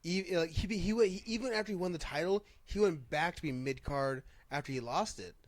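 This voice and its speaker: A man's voice: fairly high pitch, a little fast, dry recording. A man hosting a casual chat show, talking informally and with some swearing, often circling back as he phrases a thought. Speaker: podcast host. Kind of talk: casual chat about pro wrestling.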